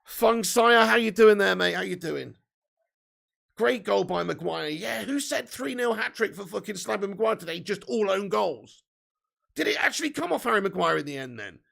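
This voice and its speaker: A man with a hoarse, fading voice, giving sweary, excitable live fan commentary while reading chat. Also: high voice, normal speed. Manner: swearing, excitable, shouts at chances. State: losing his voice. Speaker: fan watch-along streamer.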